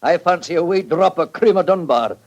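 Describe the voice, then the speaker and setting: A man's voice: high-pitched, expressive, a little fast. An actor playing a canny old Scottish baronet and grandfather in a 1940s radio drama, with a dry manner. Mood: dryly pleased. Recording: vintage 1940s radio broadcast.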